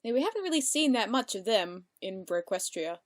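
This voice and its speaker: A woman's voice: medium pitch, sing-song, a little fast. A woman giving casual reaction commentary as she watches a cartoon. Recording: cartoon music behind the voice.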